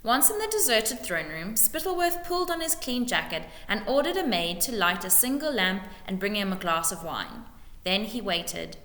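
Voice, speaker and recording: A woman narrates a story with expression and a bright, forceful tone at a middling pitch. The room is roomy and reverberant around her. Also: very animated, normal speed. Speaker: storybook reader. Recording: echoey room with long reverb.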